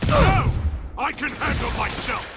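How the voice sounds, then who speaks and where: Huge villainous crime boss, creaky edge, very animated pitch, arrogant, imperious, menacing, quick-tempered, video game, heavy battle noise. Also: male voice, full character voice. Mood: furious commanding. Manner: imperious, threatening, slow and deliberate when calm.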